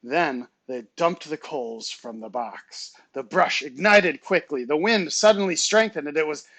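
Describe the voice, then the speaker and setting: A man's voice: on the high side, a little slow, a bit bright, dry recording. Man, teacher reading aloud, children's story, dramatic delivery, dry recording. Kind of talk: children's novel read-aloud.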